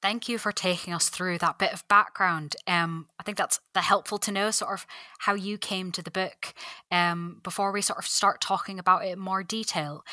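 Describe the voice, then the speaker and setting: A woman's voice: medium pitch, sharp tone, dry recording, studio quality. A woman hosting an interview podcast, introducing her guest and asking questions with frequent "um" fillers. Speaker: podcast host.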